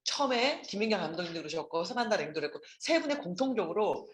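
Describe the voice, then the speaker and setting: Fast, lively woman panelist in an echoey room. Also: mid-range voice, slight background noise. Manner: rapid, lively, pausing to rephrase. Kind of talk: panel discussion remarks.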